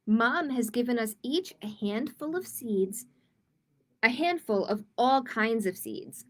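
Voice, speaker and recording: Female storytime host, higher-pitched, expressive delivery for young children and parents, reading aloud and chatting informally. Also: takes it slowly. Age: adult. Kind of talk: reading picture books aloud with informal asides to viewers. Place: live-streamed online storytime.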